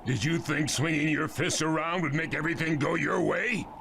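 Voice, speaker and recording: A man's voice: on the high side, normal speed, creaky, a crowd in the background. A man voicing a stern, scornful, powerful ninja village leader in a game cutscene, speaking harshly and commandingly. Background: game music.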